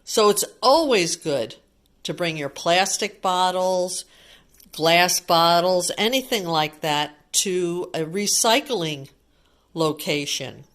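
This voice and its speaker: Slow-talking woman reading to young children. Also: fairly low pitch, fairly bright tone. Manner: simple child-directed talk with repetition and questions. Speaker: teacher reading a picture book to young children. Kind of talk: read-aloud storytime with simple asides to children.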